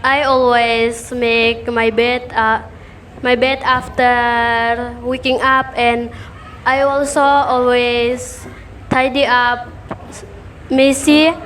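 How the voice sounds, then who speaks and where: A teenage girl, a nursing student guest, speaking halting second-language English. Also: on the high side, level tone, fairly bright tone, a little hiss or hum. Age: teens.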